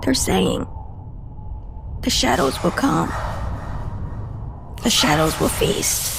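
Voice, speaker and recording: A woman playing a haunted, guarded cryptid agent in an audio drama, telling her story with halting emotion and broken-off sentences. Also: on the low side, very animated, vocal fry, noisy background, heavily acted. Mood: anguished, dread.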